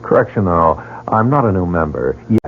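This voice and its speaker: A curious but guarded newcomer who questions everything he sees. His lowish voice moves lively, and it comes through narrow and phone-like, like a vintage broadcast. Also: normal speed. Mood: wary. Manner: inquisitive, wary. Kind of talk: 1940s radio drama acting. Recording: phone-like old radio broadcast.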